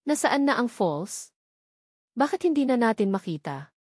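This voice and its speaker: A woman's voice: fairly high pitch, big pitch swings, normal speed, a little mellow, breathy edge, no echo, studio quality. A woman with a Filipino accent voicing a headstrong, informal young lady of a wealthy house in an audiobook story. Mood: anxious.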